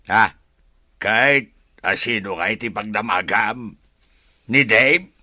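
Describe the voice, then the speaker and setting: A man's voice: fairly low pitch, slow, bright, forceful tone, dry recording, studio quality, full character voice. A man acting as an evasive, defensive husband and son in an audio drama, brushing off questions with exasperation.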